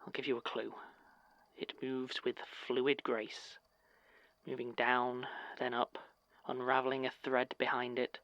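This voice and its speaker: A man performs a pensive, brooding poet giving a measured recital in an audio drama. He speaks slowly at a middle pitch, recorded dry and a little muffled. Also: monotone, a bit bright, dry recording. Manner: measured, deliberate, pausing between phrases. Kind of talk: slow spoken-word poetry recital in an audio drama.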